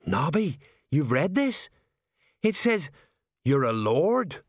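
A man voicing a slow-witted but kindly police sergeant in an audiobook, plain-spoken and puzzled. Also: on the high side, very animated, husky, no room sound, studio quality, voice pushed up, full character voice. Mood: shocked concern. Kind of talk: audiobook character acting.

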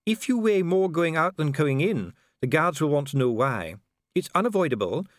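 A man's voice: on the high side, big pitch swings, moderate pace, no room sound, clean studio sound, pitched above the performer's own voice. A man voicing a solemn, disdainful dwarf official in an audiobook, formal, precise and pompous. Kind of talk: audiobook character acting.

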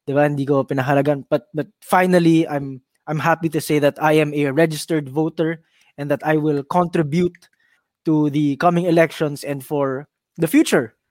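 A man's voice: on the high side, fairly even intonation, normal speed, darkish tone, dry recording. Young Filipino man, DJ, earnest. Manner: earnest, repeats himself, mixes Filipino and English. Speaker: radio DJ co-host. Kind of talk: casual talk-show banter.

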